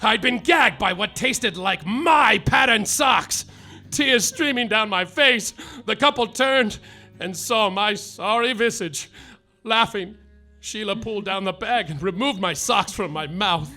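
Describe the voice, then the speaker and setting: Man as an overwrought comic private eye, bright high voice, noir narration, live stage. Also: measured pace, faint music behind, heavily acted. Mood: brooding. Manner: overwrought, shouting outbursts. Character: bumbling melodramatic male private detective narrating. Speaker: actor in a live comedy audio play. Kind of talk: hardboiled noir narration spoofed.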